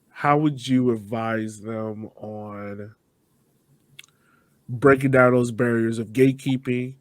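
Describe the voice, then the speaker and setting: A man with a mid-range voice in a dry, close recording. He hosts a podcast, putting questions conversationally and choosing his phrasing with deliberate care. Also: medium pitch, dry recording. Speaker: podcast host, interviewer. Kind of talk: conversational interviewing.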